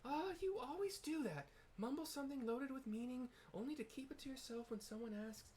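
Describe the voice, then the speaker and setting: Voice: high-pitched, fairly quick, darkish tone, a little hiss or hum, voice pushed up, close to an everyday voice. A man voicing an upbeat, chatty young woman adventurer who is easily miffed in a fantasy game. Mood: miffed, playful. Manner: chatty, exclaiming.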